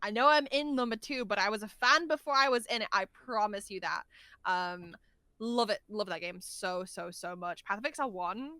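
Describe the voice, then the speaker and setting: A woman's voice: mid-range voice, moderate pace, pushed and bright, no echo. A woman chatting casually and effusively as a podcast guest, with a giggly, talkative manner. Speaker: guest voice actor.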